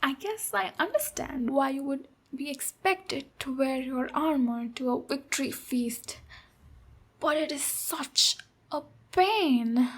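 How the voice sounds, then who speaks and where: A woman voicing a tough but protective ogre warrior in a soft-spoken, reassuring ASMR roleplay, with pauses. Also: high voice, lively intonation, heavily acted. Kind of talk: ASMR roleplay, speaking to the listener.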